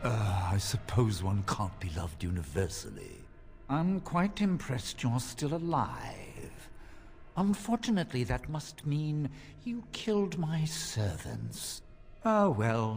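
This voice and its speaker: An actor playing a pompous, mock-polite mage turned abomination, a theatrical fantasy game villain, in long reverb. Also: male voice, on the low side, vocal fry, darkish tone, exaggerated voice. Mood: smug disdain.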